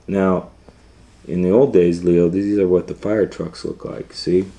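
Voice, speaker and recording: Low-voiced man reading a children's storybook. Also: fairly even intonation, unhurried, dark tone. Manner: conversational, explains things simply to a child. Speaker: storytime host and reader. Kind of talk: animated children's storybook reading with explanatory asides.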